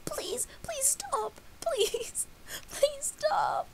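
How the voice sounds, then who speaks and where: A woman's voice: very high-pitched, expressive, very slow, gravelly, in a whisper, voice pushed up, full character voice. A woman voicing a terrified young female pegasus pony who pleads through sobs. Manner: pleading, sobbing cries.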